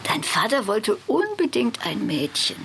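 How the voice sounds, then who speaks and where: A woman's voice: fairly high pitch, wildly swooping pitch, a little slow, vocal fry, fairly bright tone, a little hiss or hum, full character voice. An actress playing an ailing elderly mother who complains and rambles to her grown son in a radio drama. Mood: wistful reproach. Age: older. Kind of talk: naturalistic radio drama acting.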